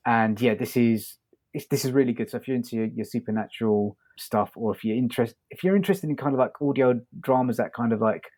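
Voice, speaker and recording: A man's voice: mid-range voice, fast, a little mellow. A man co-hosting a podcast, talking casually and appreciatively in loose, hesitant phrases. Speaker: podcast co-host. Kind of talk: casual review chat.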